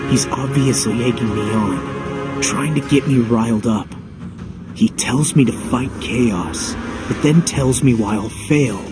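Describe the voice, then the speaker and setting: A skeptical young game hero, played by a man, speaks bluntly in a mid-pitched voice. The delivery is brooding, defiant and measured, with music underneath. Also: natural voice. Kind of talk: video game cutscene acting.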